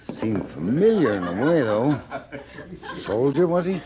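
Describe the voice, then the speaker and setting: An actor playing an obliging, curious frontier bartender in an old-time radio western, with a folksy, chatty manner. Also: male voice, mid-range voice, big pitch swings, a little slow, a crowd in the background. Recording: noisy old radio drama recording.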